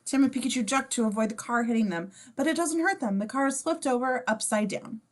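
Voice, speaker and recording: A woman's voice: on the high side, fast talker. A woman reading a script's scene descriptions at a table read, informal and playful, with casual asides. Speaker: stage-direction reader.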